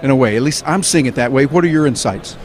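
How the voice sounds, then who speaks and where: A man's voice: mid-range voice, fast talker. A male business reporter interviewing a guest at a trade show in an upbeat, motivational way.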